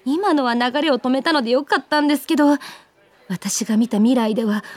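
A woman's voice: sits high, fairly quick, lightly acted. A woman playing an anxious, earnest young woman who sees three seconds ahead and narrates her own story in a radio drama. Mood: flustered. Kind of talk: radio drama acting with first-person narration.

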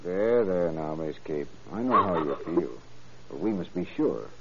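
An actor playing a calm, methodical county sheriff, measured and soothing yet authoritative, in 1930s radio acting style. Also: male voice, deep voice, heavy background noise. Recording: muffled 1930s radio recording.

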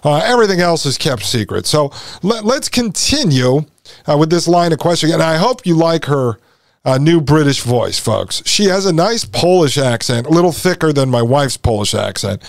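A man's voice: on the high side, animated, normal speed, dry recording. Man hosting a talk podcast, dry close sound. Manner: addresses listeners as folks, explanatory. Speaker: podcast host. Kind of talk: conversational show commentary to listeners.

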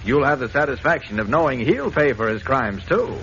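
An actor playing a shrewd, persistent investigator, probing and persuasive in 1940s radio style. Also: male voice, mid-range voice, sing-song, brisk, fairly bright tone. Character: shrewd, composed male investigator, needling and persistent. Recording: dull, noisy old radio recording.

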